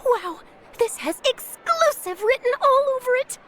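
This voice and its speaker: An actress playing an eager, inquisitive young female journalist who talks in a bright, chirpy way. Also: very high voice, big pitch swings, measured pace, very noisy, heavily acted. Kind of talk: video game dialogue.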